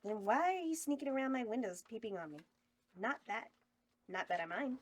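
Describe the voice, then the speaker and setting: A brash, crude horror hostess with a sassy folksy drawl, read aloud by a woman. Her voice sits a little high. She teases and trash-talks her way through every line. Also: big pitch swings, a little fast, dull audio. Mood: teasing. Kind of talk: table read acting.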